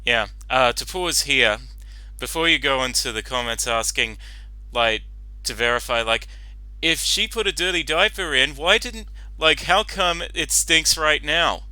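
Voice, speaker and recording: A man giving casual reaction commentary as the author of the story, explaining in a halting, repetitive way. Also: on the high side, animated, pushed and bright, dry recording, poor audio.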